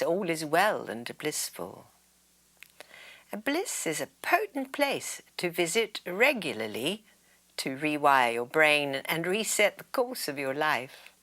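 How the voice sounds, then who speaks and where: A woman with a low voice guides a meditation at an unhurried pace. Her intonation rises and falls widely, and her phrases often trail into a soothing, breathy whisper. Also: fairly bright tone. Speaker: yoga nidra meditation guide.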